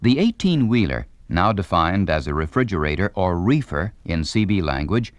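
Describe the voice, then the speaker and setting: Low, animated male instructional narrator. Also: no room sound. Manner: polished, formal announcer delivery.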